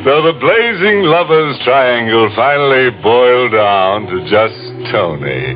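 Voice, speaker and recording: An actor playing a gleefully macabre, sardonic horror host with a drawn-out delivery in 1940s radio style. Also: male voice, medium pitch, very animated. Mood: macabre glee. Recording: muffled old radio recording. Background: prominent music.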